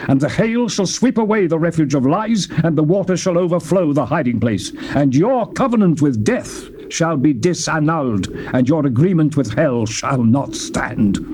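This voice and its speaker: British guy reading the bible like a stage actor, big swoops in pitch, noisy old tape. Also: darkish tone. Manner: declamatory, theatrical, with sweeping rises and falls in pitch. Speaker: Bible reader. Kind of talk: dramatic scripture reading.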